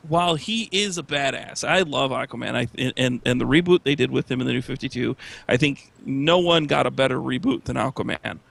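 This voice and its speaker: A man on a debate panel, chatting casually and giving opinions in a halting, stammering way. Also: fairly high pitch, vocal fry, fairly bright tone, no room sound.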